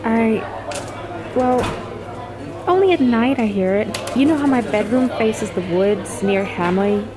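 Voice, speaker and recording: A woman's voice: on the high side, unhurried, lightly acted. A woman playing a blunt, casual young friend who is uneasy and exasperated, in a conversational audio drama. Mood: uneasy, intrigued. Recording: busy crowd murmur behind.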